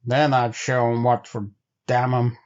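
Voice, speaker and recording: A man's voice: medium pitch, flat delivery, a little slow, dull audio, close to an everyday voice. A man voicing an uneasy, grumbling frontier sled driver with folksy speech, in a storybook reading.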